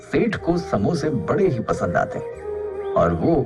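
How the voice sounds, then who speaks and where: A man's voice: mid-range voice, big pitch swings, slow pace, over loud music. A man narrating a scripted children's cartoon story as its storyteller.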